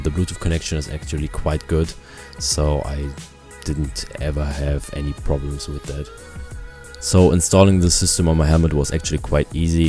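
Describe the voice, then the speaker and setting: A man giving a casual product review, explaining things in simple, halting phrases. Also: a bass voice, mellow.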